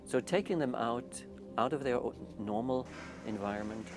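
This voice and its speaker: A middle-aged or older man with a Swiss accent, talking reflectively and haltingly in an interview style about his own work. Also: medium pitch, over music. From Switzerland. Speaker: youth retreat founder. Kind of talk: interview-style personal talk.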